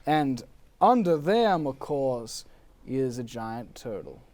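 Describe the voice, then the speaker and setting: A man playing a pompous, bemused dead nineteenth-century writer brought back for a comedy talk show, speaking haltingly in wordy, old-fashioned diction. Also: medium pitch, big pitch swings, a little mellow. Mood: pompous, curious.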